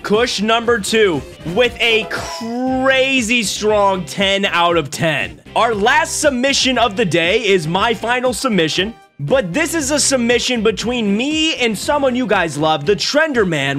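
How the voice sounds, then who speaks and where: A young man hosting a reaction stream from a small room on a desk mic, excitable and quick to laugh. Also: high voice. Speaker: streamer host. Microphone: desk mic on arm.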